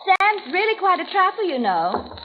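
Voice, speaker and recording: A woman's voice: very high-pitched, very animated. An actress playing a cruel woman who taunts with false sweetness, in old-time radio drama style. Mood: sly menace. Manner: mock-solicitous, taunting, sardonic, drawn-out endearments. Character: cruel, mockingly sweet woman tormenting her blinded rival. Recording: dull, very noisy old radio recording.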